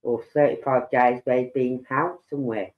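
A middle-aged man, slow and rambling as he hosts a livestream chat with a head cold and sore throat. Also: mid-range voice, steady tone. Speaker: livestream host. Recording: dull lo-fi sound.

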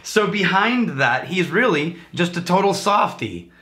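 A man in his thirties leads a relaxed whiskey tasting in a home bar lined with bottles. His voice has a bright, forceful edge, and he jokes and explains with animated energy. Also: sits high, a little slow. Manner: animated, joking, lecturing with hand gestures. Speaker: whiskey reviewer and teacher. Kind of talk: casual tasting chat.

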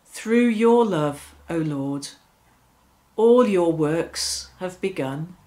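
Slow, deliberate woman leading prayers. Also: on the low side, expressive, mellow, poor audio. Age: middle-aged. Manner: deliberate, phrase-by-phrase delivery. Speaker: prayer leader. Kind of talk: devotional reflection and prayer, measured reading. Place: small domestic room, seated on a sofa.

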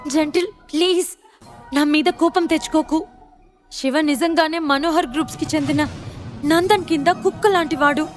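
A woman's voice: normal speed, soft background music, natural voice. A woman voicing a submissive, clinging female character in an audio story, begging and placating. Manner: begging, placating, high-pitched. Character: submissive, pleading woman clinging to cruel man.

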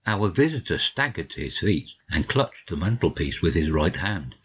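A man with a husky voice giving a scripted narration of a classic story for an audiobook, slightly muffled. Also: low voice, sing-song, normal speed.

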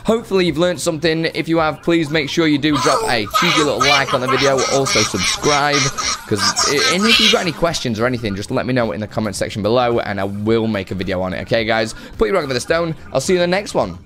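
A man in his thirties presenting a casual software tutorial in an upbeat, informal, chatty way on a close microphone. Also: medium pitch, expressive, quick pace, heavy background noise. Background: music.

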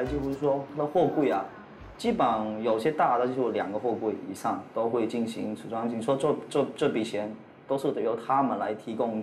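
A young businessman answers an interview in a halting way, often repeating phrases. The sound is roomy, as in an office. Soft music plays underneath. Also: mid-range voice.

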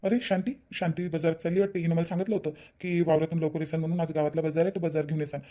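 A man's voice: fairly high pitch, level tone, rapid-fire, mellow, natural voice. A man voicing a forgetful, henpecked village farmer husband in a comic cartoon, rustic and submissive, with a Varhadi Marathi accent. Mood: sheepish. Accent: Varhadi Marathi, Vidarbha. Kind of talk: amateur comic cartoon voice-over.

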